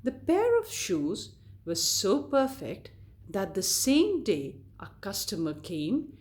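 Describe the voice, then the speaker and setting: Slow, expressive woman reading to children. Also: medium pitch. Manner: deliberate, expressive read-aloud delivery addressed to children. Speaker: children's storyteller. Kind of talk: scripted fairy tale narration for kids.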